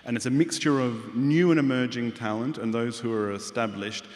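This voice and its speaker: A man's voice: mid-range voice, steady tone, normal speed, darkish tone. Man moderating a panel in a hall. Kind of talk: hosting a panel. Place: large hall with audience. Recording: hall reverb.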